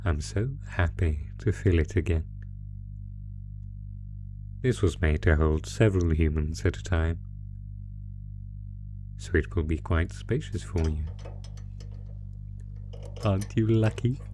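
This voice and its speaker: A man's voice: sits low, slow pace, pauses often, warm tone, soft background music. A man voicing an ancient, possessive monster captor in a horror roleplay, gloating with menacing, mock-tender teasing. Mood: sinister delight. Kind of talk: horror roleplay audio acting.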